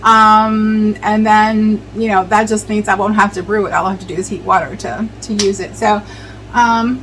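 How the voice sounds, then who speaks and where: A middle-aged woman talks casually in her home kitchen as she works, wandering from topic to topic. She fills every pause with ums and restarts her sentences, at an ordinary middle pitch. Faint noise hums behind her. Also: flat delivery, fairly bright tone, poor audio. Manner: rambling, chatty, many ums and restarts. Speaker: vlogger. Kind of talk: casual chat while preserving herbs.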